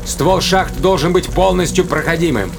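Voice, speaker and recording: Sci-fi audio play captain: gravelly, gruff, sardonic under pressure, clipped orders, music and effects behind. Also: male voice, high-pitched, normal speed. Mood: commanding. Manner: terse, muttering to himself, commanding. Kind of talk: audio drama acting.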